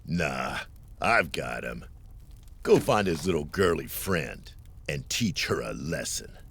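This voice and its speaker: Very deep, gravelly thug boss. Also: male voice, big pitch swings, takes it slowly, a bit bright, slight background noise, heavily acted. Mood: cold, menacing. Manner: menacing, mocking drawl. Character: taunting male thug boss, cruel and cocky. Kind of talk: audio drama acting.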